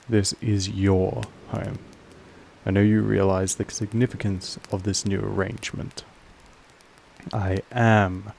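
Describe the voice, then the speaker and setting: A man playing a possessive vampire master in an intimate audio roleplay, speaking formally in a measured, softly menacing way with a gravelly creak. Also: lowish voice, low-quality recording. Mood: tender, earnest. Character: possessive male vampire master, calm, commanding, quietly affectionate.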